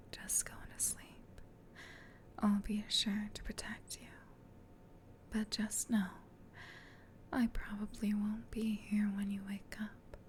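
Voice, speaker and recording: A woman voicing a gentle, protective female demon in a soft, soothing whisper for an ASMR comfort roleplay. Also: medium pitch, a little hiss or hum, natural voice. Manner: soothing, tender, reassuring, unhurried.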